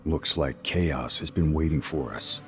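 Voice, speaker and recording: An actor playing a gruff, single-minded warrior in a video game cutscene, terse and blunt. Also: male voice, very deep voice, unhurried, faint music behind. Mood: grim, determined.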